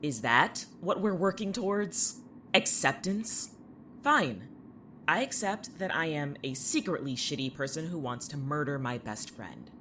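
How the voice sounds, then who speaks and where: A woman's voice: sits low, expressive, measured pace, fairly bright tone, slight background noise. A woman playing a sarcastic, defensive, exasperated young patient in an audio drama, blunt and snarky in delivery. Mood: exasperated, dismissive.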